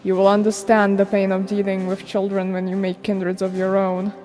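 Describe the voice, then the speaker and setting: A woman's voice: mid-range voice, completely flat delivery, brisk, dark tone, in a large hall, soft background music, voice pushed down, natural voice. A woman playing a proud blood-fiend father who is weary yet eager for stories, in formal, archaic fandub acting. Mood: weary, composed. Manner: archaic, formal, theatrical diction. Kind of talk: dramatic game fandub acting.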